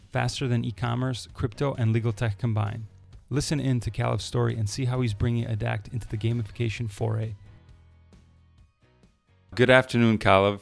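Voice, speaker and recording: A man hosting an interview podcast, introducing a guest and asking relaxed, conversational questions. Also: lowish voice, creaky. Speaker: podcast host.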